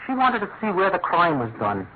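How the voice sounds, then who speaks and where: Deferential constable, earnest, chatty, apologetic, very varied pitch, radio drama, thin noisy sound. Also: male voice, wildly swooping pitch, fairly quick. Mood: sheepish. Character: earnest, deferential, sheepish male police constable. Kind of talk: classic radio drama acting. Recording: tinny, narrow-band old radio, faint noise.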